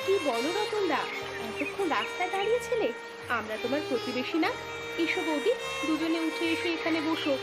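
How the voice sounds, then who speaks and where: A woman voicing a lively, kindly village housewife in a moral-tale cartoon, animated and exclaiming. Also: sits high, fairly quick. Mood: welcoming. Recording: music with strings behind the voice.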